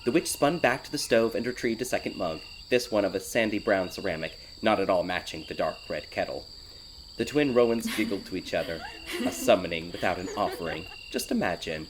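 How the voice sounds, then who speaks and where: Low-voiced man narrating, night insects behind. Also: a little fast, background noise. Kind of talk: scripted audiobook narration of dark fairy tale. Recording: loud crickets and night ambience behind. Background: night insects, ambience.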